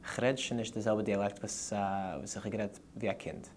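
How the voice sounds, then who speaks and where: A man with a fairly low voice and a Ukrainian Yiddish accent talks things through thoughtfully. He pauses often to find his words, and faint noise sits behind him. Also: steady tone. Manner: thoughtful, explaining at length with frequent pauses. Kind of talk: casual reflective talk.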